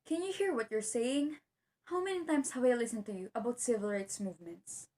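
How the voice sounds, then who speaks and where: Woman acting an earnest young schoolteacher, higher voice. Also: fairly quick, warm tone, a little hiss or hum, close to an everyday voice. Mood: defensive. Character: earnest, determined young woman teacher, a dutiful daughter. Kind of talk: amateur one-act play acting.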